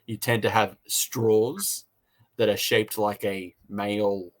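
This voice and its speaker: A man's voice: on the low side, fairly even intonation, slow. A man with an Australian accent hosts a podcast review in a casual, chatty way with a jokey, teasing streak.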